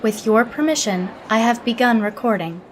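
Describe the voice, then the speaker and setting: A woman playing a literal, humorless alien Starfleet officer in an audio drama, speaking formally and exactly with a deadpan manner. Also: a little high, normal speed, slight background noise, close to an everyday voice. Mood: formal, detached. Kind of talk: scripted audio drama log and interview.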